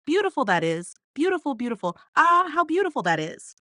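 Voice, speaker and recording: A happy little lark played by a woman exclaims in a high singsong. It is joyful storybook bird talk, lifted well up and recorded dry. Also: very animated, brisk, bright, forceful tone, no echo, full character voice. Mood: elated. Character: joyful female lark singing in praise.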